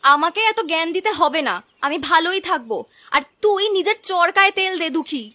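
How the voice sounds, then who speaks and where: A woman acts out a spoiled, ambitious young granddaughter in a cartoon folk tale. She has a piercing, very high voice and a sneering, sharp tongue. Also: lively intonation, a little fast, heavily acted. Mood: resentful. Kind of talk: animated folk tale acting.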